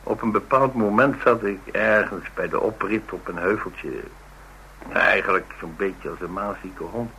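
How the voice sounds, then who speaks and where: A rugged, blunt man speaks in a low, forceful voice. He tells his story with dry humour in a colloquial radio play manner, over some background noise. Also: moderate pace, rough recording. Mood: musing, confiding. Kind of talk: Dutch radio drama dialogue, conversational storytelling.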